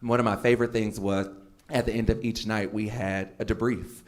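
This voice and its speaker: A man's voice: fairly low pitch, flat delivery, normal speed. A man on a panel telling a story in a chatty way, with many fillers. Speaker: alumni panelist. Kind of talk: panel talk at a keynote.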